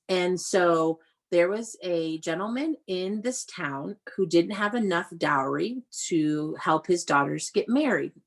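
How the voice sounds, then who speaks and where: A woman teaching young children through a read-aloud, explaining in an animated way and often putting questions to them. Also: lowish voice. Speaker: elementary school teacher.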